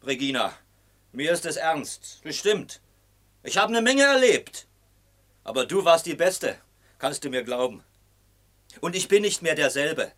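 An actor playing a drunken, aggressive and pleading former lover in a German radio play, insistent and blustering. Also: male voice, fairly high pitch, big pitch swings, measured pace, pauses often, a bit bright.

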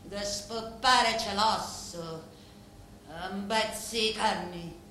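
An actress with a Sicilian accent playing a mob boss's bitter, taunting, sensual wife in a theatrical monologue. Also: female voice, mid-range voice, lightly acted. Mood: mocking, taunting. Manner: scornful, deliberate, theatrical. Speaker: stage actress. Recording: very echoey stage hall, faint noise.

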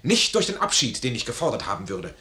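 An actor playing a proud, honour-bound army major in a classical radio play, with formal, grave and impassioned diction. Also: male voice, mid-range voice, huge pitch swings, fast talker, sharp tone, reverberant. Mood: aggrieved, proud.

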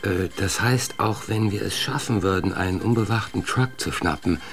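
A man's voice: fairly low pitch, normal speed, faint music behind, lightly acted. A man playing a wary, reluctant ordinary family man in a radio play, speaking hesitantly. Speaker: actor in a radio drama. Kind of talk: German radio play acting.

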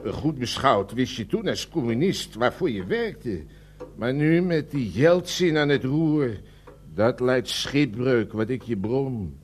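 A rambling old communist window cleaner. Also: male voice, mid-range voice, lively intonation, takes it slowly, slight background noise. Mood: grumbling. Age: older. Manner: rambling, hesitant with many ums, sentimental. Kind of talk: radio play acting.

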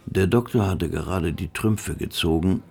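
A man's voice: deep voice, normal speed, warm tone, slight background noise. A man narrating a radio drama from a script. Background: music.

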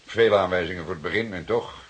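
An actor playing a calm, stubborn, dryly ironic police detective in a radio drama, speaking in a measured way. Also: male voice, low voice, breathy edge. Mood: dry, grave. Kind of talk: Dutch radio drama acting.

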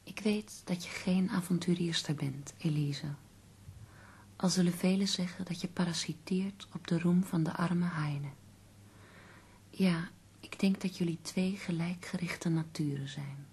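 Low, soft-voiced devoted companion. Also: female voice, unhurried, husky, full character voice. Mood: earnest, measured. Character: devoted, earnest female companion of an ailing poet. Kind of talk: Dutch radio play acting.

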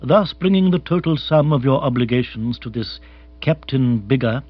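A man voicing an imperturbable, deferential English manservant in a comic storybook, formal, measured, precise and deadpan. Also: mid-range voice, no room sound, highs cut off, lightly acted. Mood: calm, precise.

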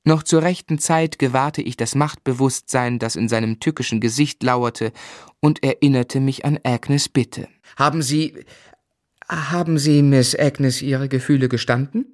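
Literary radio play lead: male actor as courteous, earnest young gentleman, medium pitch, measured formal delivery, dry studio sound. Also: fairly even intonation, no echo, natural voice. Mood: troubled. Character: earnest, courteous young gentleman narrator hiding his loathing. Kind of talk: German literary radio drama, narration and dialogue.

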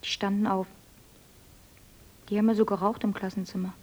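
An actress playing a young woman on a farm, retelling events in a hushed voice and softly musing to herself. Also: mid-range voice, flat delivery, fairly quick, natural voice. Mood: hushed report. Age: young adult. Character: clever young woman, the miller's adopted daughter.

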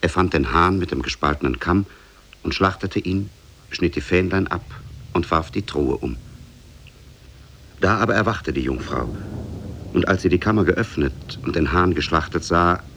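A man narrating a tale in 1950s radio style, measured, literary and solemn. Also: low voice, lively intonation, a bit bright, slight background noise. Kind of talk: scripted storybook narration, 1950s German radio.

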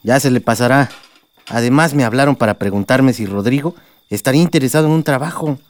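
A man's voice: mid-range voice, fast, close to an everyday voice. An actor playing a practical, unflustered middle-aged village father with a Mexican accent in a radio drama. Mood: reassuring.

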